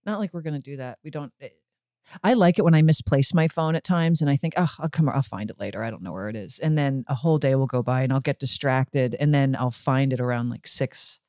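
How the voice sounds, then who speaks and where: Mellow, low-toned woman podcast host chatting. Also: fairly quick, no room sound, studio quality. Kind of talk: casual chat.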